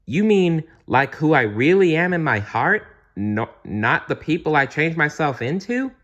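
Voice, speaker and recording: A man voicing an excitable, sentimental, goofy genie in a theatrical, exclamatory way for a children's storybook. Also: a little high, measured pace, highs cut off, pitched above the performer's own voice. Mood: puzzled.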